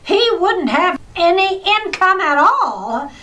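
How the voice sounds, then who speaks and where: A woman plays an excitable wisecracking wife in a radio comedy. The voice is very high and bright, taking its time over each barb with big melodic swings. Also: slow pace, exaggerated voice. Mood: wry, sassy.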